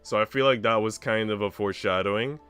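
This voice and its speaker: A man's voice: on the low side, fast talker, a bit bright, smooth, soft background music. A man streaming a visual novel, reading its narration aloud expressively with casual commentary.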